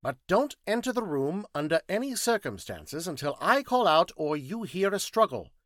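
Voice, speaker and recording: A man's voice: sits high, sing-song, normal speed, a bit bright, dry recording, clean studio sound, voice pushed up. A man voicing a curt, petulant, exacting scientist detective in an audiobook story, speaking precisely and didactically. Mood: grave, commanding.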